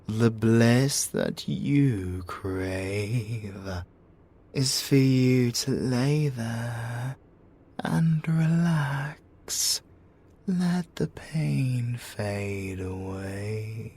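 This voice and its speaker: A British man voicing a possessive, doting siren lover in an intimate ASMR roleplay, breathy and seductive with lingering pauses. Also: mid-range voice, a little mellow, full character voice. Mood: soothing, hushed. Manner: slow, breathy, seductive crooning with drawn-out pauses.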